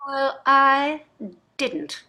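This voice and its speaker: A woman acts a wealthy, sardonic wife in her sixties in a play reading. The voice is highish and forceful with a husky grain, very animated, full of theatrical exasperation and wistfulness. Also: drawn-out words, full character voice. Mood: sardonic, dismayed. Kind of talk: staged play reading over video.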